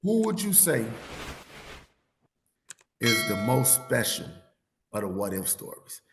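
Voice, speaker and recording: A man in his thirties or forties hosting a sports podcast, losing his voice, rambling and animated as he tells stories in casual chat. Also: medium pitch, takes it slowly, creaky, roomy sound, very noisy. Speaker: podcast host.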